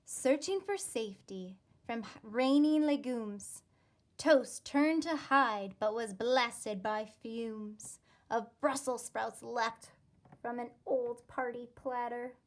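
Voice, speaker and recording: Female primary-style reader for rhyming picture book: high-pitched, unhurried, deliberate teacherly delivery. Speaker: teacher reading a storybook aloud. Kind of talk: expressive children's read-aloud of a rhyming story.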